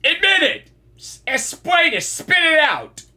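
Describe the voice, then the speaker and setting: A man giving casual, excitable gaming reaction commentary, often repeating phrases as he blurts at the screen. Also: extremely high pitch, unhurried.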